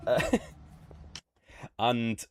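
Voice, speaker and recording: A man from Poland with a mixed British and American accent, chatting and thinking out loud while streaming a game. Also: drawn-out words. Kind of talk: casual gaming livestream chat. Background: music.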